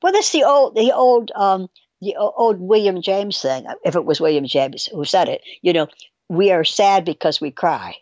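A woman's voice: on the low side, moderate pace, a little mellow, dry recording, lo-fi sound. A woman chatting casually as a podcast guest, rambling and hesitant as she thinks aloud. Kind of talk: casual conversational discussion.

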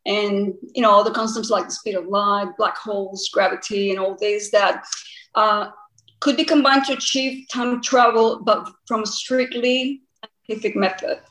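A woman's voice: medium pitch, a bit bright. Costa Rican-accented woman, roomy echo. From Costa Rica. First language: Spanish. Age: early 40s. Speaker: podcast guest, body art painter. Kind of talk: conversational interview answers.

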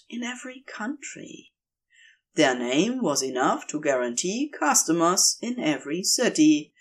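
A woman narrates a story in a slightly low voice. She reads at a slow, measured pace, and her pitch moves expressively as she goes, in the manner of a storyteller. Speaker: podfic narrator reading a story.

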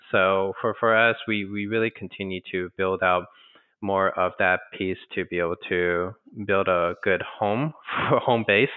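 An American man from Texas answering in a conversational business interview, explaining things in a halting, rambling way with self-corrections. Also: low voice, smooth. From Texas, United States. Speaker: interview guest, franchise business co-founder. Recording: narrow, tinny phone-line sound.

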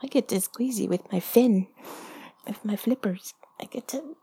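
Breathy, high-voiced woman in intimate ASMR. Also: normal speed. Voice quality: soft, breathy, often dropping to a whisper. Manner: giggly, playful, chatty. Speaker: audio performer addressing the listener. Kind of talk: intimate ASMR, rambling and affectionate. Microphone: close mic.

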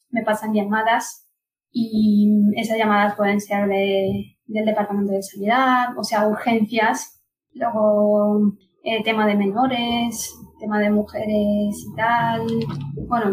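Punjabi-native woman, a bit high, hesitant casual chat, mic set back. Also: far from the mic, slight background noise, poor audio. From Pakistan. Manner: hesitant, with frequent restarts and laughs. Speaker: podcast guest. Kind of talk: casual conversation.

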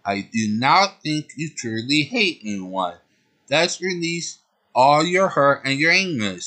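A man voicing a gentle young male hero who speaks softly and comfortingly, almost begging for forgiveness. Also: a little high, expressive, takes it slowly, voice pushed up, exaggerated voice. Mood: comforting, pleading.

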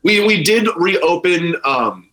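A man's voice: high-pitched, lively intonation, slow, pushed and bright, low-quality recording. A man with an American accent chatting casually as an interview guest, rambling and repeating phrases, with some casual swearing. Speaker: brewery owner, podcast guest.

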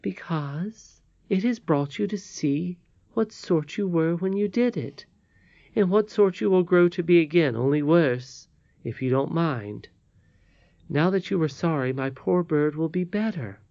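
A man voicing a high, gentle old storybook woman. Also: expressive, a little slow, vocal fry, dry recording, dull audio. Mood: tender, forgiving. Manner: gentle, softly admonishing. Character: kindly, mysterious withered old woman, gentle but firm. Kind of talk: storybook character reading.

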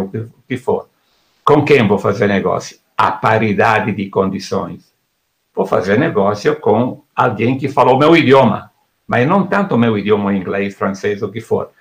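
Gravelly middle-aged man explaining at length. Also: medium pitch. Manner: didactic, lists topics in bursts. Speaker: author and export consultant. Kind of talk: explaining his book in an interview.

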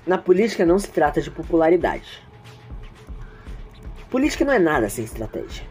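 A woman acts out an indignant teenage schoolboy in an amateur audio drama. The voice sits low and is soft-edged and mellow, with a mocking, argumentative bite. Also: big pitch swings, a little fast, faint music behind.

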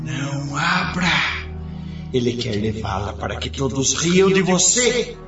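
A man's voice: medium pitch, sing-song, measured pace. An actor playing a malicious male spirit that taunts and mocks in a radio drama. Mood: cruel taunting. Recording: muffled, distant, echoing, music behind.

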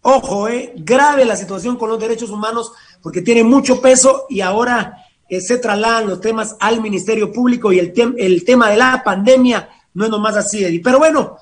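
A man's voice: high voice, moderate pace. A man with a Guatemalan accent hosting an informal sports talk show in an irreverent, slangy, profane manner. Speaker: host. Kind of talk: informal radio-style sports talk with co-hosts.

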